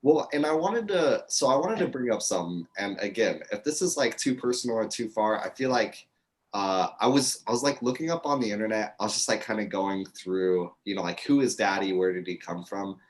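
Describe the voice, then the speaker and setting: A man's voice: lowish voice, lively intonation, brisk. A man hosting a casual music interview, laid-back and loose, saying "like" and "dude" a lot.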